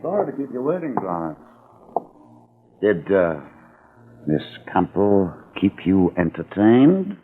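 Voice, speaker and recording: A domineering ringleader who gives orders in a clipped, sly manner, his husky low voice rising and falling sharply. The old radio sound is thin and tinny. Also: very animated, slow pace, long pauses, exaggerated voice. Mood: menacing. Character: commanding middle-aged male ringleader, cold and menacing. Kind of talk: 1940s radio acting.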